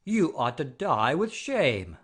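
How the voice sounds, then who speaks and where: An actor puts on an outraged old gentleman in a classic comedy audio play. He is pompous and commanding, speaking slowly with huge swings of pitch. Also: sing-song, breathy edge, exaggerated voice. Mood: haughty outrage.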